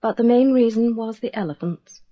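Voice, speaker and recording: An actress playing an anxious, affectionate genteel colonial wife, polite and confiding, in a radio drama. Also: female voice, a little high, moderate pace, lightly acted. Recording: dull lo-fi old radio recording.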